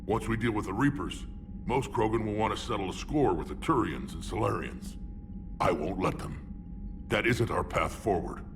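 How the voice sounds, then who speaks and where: A battle-hardened alien warlord from a video game played by a man, with a very low, gravel-filled growl. He is blunt, proud and sardonic. The sound is a little distant, over clear background ship noise. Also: normal speed, close to an everyday voice. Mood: resolute. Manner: blunt, sardonic, weighty delivery. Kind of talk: video game character acting.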